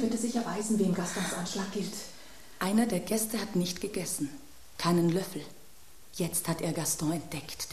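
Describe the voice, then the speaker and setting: Woman doing a jittery onlooker narrating a dinner, lowish mellow voice, roomy echo. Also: natural voice. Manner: excited, anxious running commentary. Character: agitated woman giving a breathless account of a dinner. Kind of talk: radio play acting.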